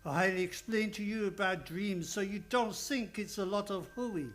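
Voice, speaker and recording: A man's voice: high-pitched, a little slow, a bit bright, faint music behind. A man playing a fatherly older psychoanalyst in an old-time radio drama, coaxing and insistent, with slightly broken phrasing. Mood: explaining, patient. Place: radio studio, live radio play.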